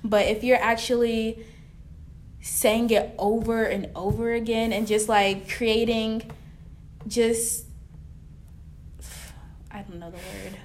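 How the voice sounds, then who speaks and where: A woman's voice: fairly high pitch, fairly even intonation, slow pace, pauses often, a little mellow, slight background noise. A young woman co-hosting a casual roundtable discussion, rambling and sometimes searching for words. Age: young adult. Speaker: staff writer and podcast co-host.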